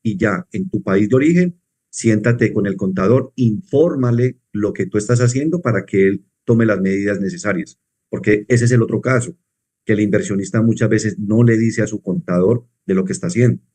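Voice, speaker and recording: A man working as a tax advisor, explaining rules in a measured way and answering his own questions. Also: lowish voice, darkish tone. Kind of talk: explanatory conversation.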